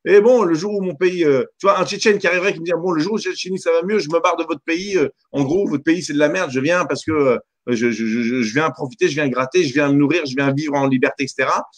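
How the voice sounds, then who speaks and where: A man's voice: fairly high pitch, quick pace, few pauses. A man born in Algeria hosting a radio debate, interrupting often and stammering as he argues. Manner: interrupts, stammers, repeats himself. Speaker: radio host.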